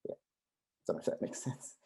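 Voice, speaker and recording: A man's voice: moderate pace, dark tone. A man speaking on an academic panel about his fieldwork, hesitant, with many fillers and restarts.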